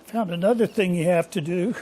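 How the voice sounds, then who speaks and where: An older man hosting a casual workshop vlog from his home machine shop, talking in a hoarse, rambling, folksy way. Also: sits high, a little fast, mellow, slight background noise. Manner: rambling, folksy and self-deprecating. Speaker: workshop channel host.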